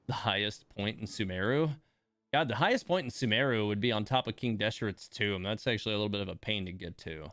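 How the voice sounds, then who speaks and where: A man livestreaming a game, musing aloud in a wry, halting, casual way. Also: fairly low pitch, creaky, fairly bright tone, no room sound. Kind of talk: casual gaming stream commentary.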